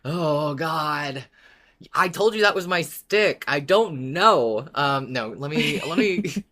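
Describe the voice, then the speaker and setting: A woman co-hosting a podcast, chatting casually about a favorite show in an informal, excitable, exaggerating way. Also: low voice, slow. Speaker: podcast co-host. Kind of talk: casual fan discussion podcast.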